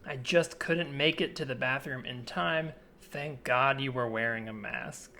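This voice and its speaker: American man, bright forceful voice, casual comedy podcast co-host, clear background noise. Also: mid-range voice, unhurried. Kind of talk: casual chat, reading and riffing on classified posts. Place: remote podcast recording.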